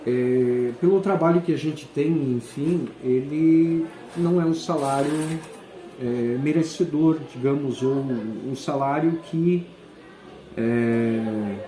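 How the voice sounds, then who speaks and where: Guy in his 40s, mellow voice, hesitant, lots of pauses, echoey room. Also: medium pitch. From southern Brazil. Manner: hesitant, pausing often to search for words. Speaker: school principal. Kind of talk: answering interview questions.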